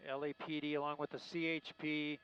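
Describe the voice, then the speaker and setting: A man working as a helicopter news reporter, giving live unscripted commentary in a halting, searching way. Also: fairly high pitch, monotone. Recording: muffled lo-fi live broadcast with faint noise.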